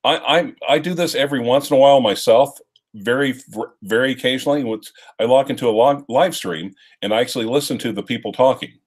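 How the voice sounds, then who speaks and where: A man's voice: mid-range voice, fast talker. A man about to turn fifty-one, co-hosting a casual live-stream chat with dry, wry humor. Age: 50s.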